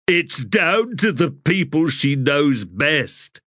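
A man's voice: on the high side, very animated, slow pace, sharp tone, no echo, clean studio sound, pitched above the performer's own voice, exaggerated voice. A male narrator voicing an odd boy who muses in an offhand way. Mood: musing. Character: odd calm boy with strange wisdom.

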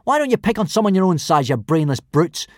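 A man's voice: high-pitched, very animated, brisk, no room sound, clean studio sound, voice pushed up. A man playing a small, plucky young Viking boy in a storybook, earnest and indignant. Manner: indignant outbursts.

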